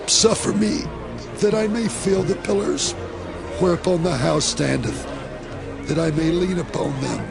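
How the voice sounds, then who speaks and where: A man's voice: high-pitched, unhurried, creaky, over music, crowd noise. A man playing an anguished, pleading strongman hero of ancient Israel in a dramatized audio Bible, with strained, impassioned delivery.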